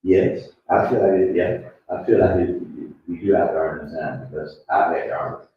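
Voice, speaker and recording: A man speaking as a panel guest, giving halting, repetitive personal testimony. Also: deep voice, narrow, tinny audio. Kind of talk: personal testimony at live event. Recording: echoey room, distant mic, background noise.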